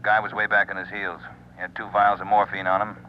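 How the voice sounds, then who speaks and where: A man acting a brisk chief of detectives in an old radio drama, with terse, clipped delivery. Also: sits low, level tone, close to an everyday voice. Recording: dull, faintly noisy old radio recording.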